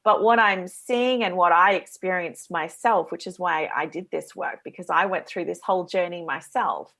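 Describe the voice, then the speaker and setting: A woman's voice: fairly low pitch, normal speed, gravelly, fairly bright tone. A woman with an Australian accent, a guest coach speaking earnestly and eagerly in a radio interview.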